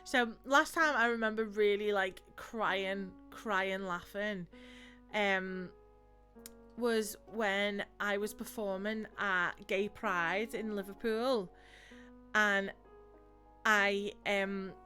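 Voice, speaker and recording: A woman's voice: mid-range voice, steady tone, slow pace, pushed and bright, soft background music. A woman hosting a live stream, chatting casually and laughing often, with lots of fillers. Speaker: streamer host.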